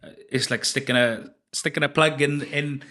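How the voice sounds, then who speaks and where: A man hosting a podcast interview, enthusiastic but halting, often restarting his sentences. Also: mid-range voice, steady tone, sharp tone. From Wales. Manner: hesitant, many restarts and fillers, enthusiastic. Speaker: podcast host. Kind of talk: casual interview chat.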